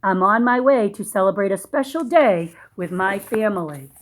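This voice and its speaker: A woman reading a storybook aloud to young children, pausing in a teacherly way to ask questions and explain things simply. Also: medium pitch, expressive.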